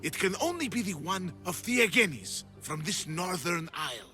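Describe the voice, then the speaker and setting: An anxious, scholarly sculptor for a video game. He is effusive and fussy, with a bright, high voice that swings widely in pitch. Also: sing-song, moderate pace, faint music behind, full character voice. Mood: eager, explanatory. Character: anxious, excitable hunted male Greek sculptor, theatrical and scholarly. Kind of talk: dramatic video game acting.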